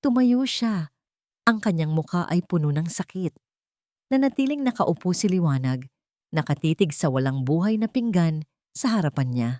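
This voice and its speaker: A woman's voice: fairly low pitch, expressive, moderate pace, mellow, no room sound, clean studio sound. A Filipino woman narrating a scripted romantic drama as a storyteller.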